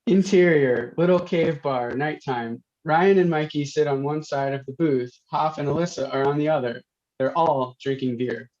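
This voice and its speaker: A man's voice: fairly high pitch, moderate pace, a little mellow. A man reading stage directions as scripted narration at a comedy table read. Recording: dull lo-fi online call.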